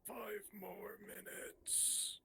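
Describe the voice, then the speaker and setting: A performer voicing a sleepy, cryptic talking skeleton in a breathy, terse whisper for an ASMR roleplay. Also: medium pitch, fairly even intonation, pushed and bright, full character voice. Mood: drowsy. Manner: slow, terse, drawn-out delivery.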